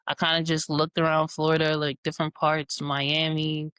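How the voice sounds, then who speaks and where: A man in his twenties, from Jamaica and New York, answering in a relaxed, easygoing way as an interview guest. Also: fairly high pitch, moderate pace, clean tone, no echo, clean studio sound. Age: 20s. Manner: relaxed, easygoing, with casual fillers. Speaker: guest scientist.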